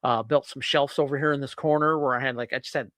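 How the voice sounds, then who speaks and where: A man's voice: mid-range voice, fairly even intonation, quick pace, fairly bright tone, dry recording, clean studio sound. A man co-hosting a podcast, chatting casually from his home office in a rambling, laid-back way with casual swearing. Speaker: podcast co-host. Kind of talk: casual chat.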